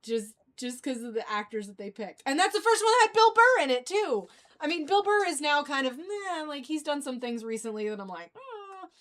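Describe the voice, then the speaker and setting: A woman hosting a livestream in a casual, rambling fan chat, halting and often trailing off mid-thought. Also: high voice, big pitch swings, fairly bright tone. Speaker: livestream host.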